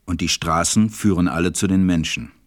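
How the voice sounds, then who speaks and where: A man narrating a fairy tale as a measured, reflective storyteller in a radio play. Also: sits low, fairly even intonation, close to an everyday voice.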